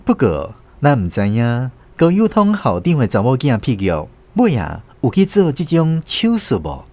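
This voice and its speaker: A man's voice: mid-range voice, huge pitch swings, moderate pace, dark tone, no echo. A man with a Taiwanese accent hosting a radio program in scripted narration. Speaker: radio show host and narrator.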